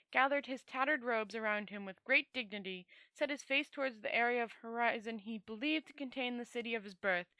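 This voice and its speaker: A woman reads a fantasy novel aloud in a bright, fairly high voice. She is an expressive storyteller who often trips on words and goes back over phrases, recorded close and dry. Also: dry recording.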